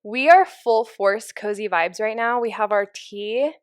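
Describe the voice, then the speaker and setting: A woman's voice: mid-range voice, unhurried, no echo, studio quality. A young woman co-hosting a podcast, chatting casually from a living room and laughing often. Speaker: podcast co-host. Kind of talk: casual chat. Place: home living room by a fireplace.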